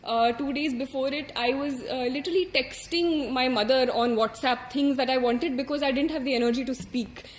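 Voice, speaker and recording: A woman's voice: high-pitched, normal speed, few pauses, echoey room, a little hiss or hum. A young woman in her twenties from India with an Indian English accent, earnestly sharing exam preparation advice with many fillers and restarts. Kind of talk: reflective advice talk.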